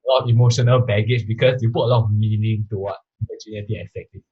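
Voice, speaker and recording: A man's voice: lowish voice, steady tone, fast talker, breathy edge, narrow, tinny audio. A young Singaporean man in his twenties, talking bluntly and offhandedly as a guest on a casual panel, full of "you know" and "right". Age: 20s.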